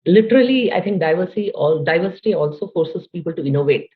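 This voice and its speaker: A low-voiced Indian woman in her late forties talks thoughtfully as a podcast guest and often pauses with "you know". Her voice comes through a narrow, tinny phone-like line. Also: animated. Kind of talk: conversational interview.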